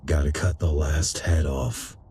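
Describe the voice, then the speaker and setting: An actor playing a grim, terse cyber-warrior in video game dialogue. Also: male voice, a bass voice, fairly even intonation, a little slow, mellow, breathy edge, exaggerated voice. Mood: stoic, resolute. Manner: terse, stoic. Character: grim, terse male cyber-warrior returning to lead a resistance.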